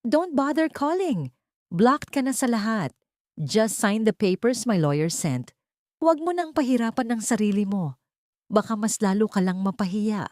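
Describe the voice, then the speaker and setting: A woman's voice: medium pitch, animated, normal speed, darkish tone, dry recording, studio quality, pitched above the performer's own voice, lightly acted. A woman as cold, arrogant cheating husband. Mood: cold dismissal. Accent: Filipino. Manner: formal, dismissive Taglish announcement.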